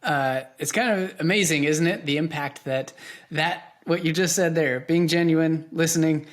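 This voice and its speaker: Higher-pitched man hosting a podcast. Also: normal speed. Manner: enthusiastic, affirming. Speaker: podcast host, interviewer. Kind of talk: conversational podcast interviewing.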